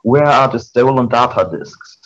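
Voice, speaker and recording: A man voicing a menacing, disdainful dark alicorn mare who gives commands in a cartoon fan fiction reading. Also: medium pitch, measured pace, voice pushed up, close to an everyday voice. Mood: menacing, commanding. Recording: dull, noisy amateur mic.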